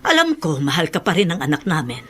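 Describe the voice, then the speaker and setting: A woman's voice: low-pitched, big pitch swings, fast, fairly bright tone, husky, full character voice. A woman acting in a Filipino radio drama as a blunt, stern, elderly mother-in-law who scolds protectively. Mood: scolding, indignant.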